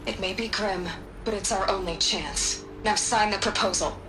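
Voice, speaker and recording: A woman's voice: medium pitch, moderate pace, noisy background, lightly acted. A woman as a blunt, uncompromising scientist. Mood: firm, threatening. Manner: blunt, firm, sardonic. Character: stern, uncompromising female scientist, blunt and cutting. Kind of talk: video game cutscene acting.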